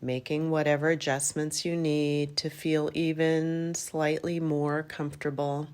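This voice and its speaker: A woman with a low voice guides a deep-rest meditation. She speaks very slowly and gently, leaving long pauses between short phrases. Her manner stays calm throughout. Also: clear, resonant tone. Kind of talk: guided yoga nidra meditation.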